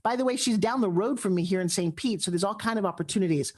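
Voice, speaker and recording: A woman's voice: mid-range voice, quick pace, a little mellow. A woman hosting a live audio chat room, speaking effusively and gushing over her guests. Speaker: host.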